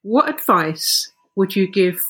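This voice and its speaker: A woman interviewer asking measured, gentle questions. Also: medium pitch, big pitch swings, breathy edge.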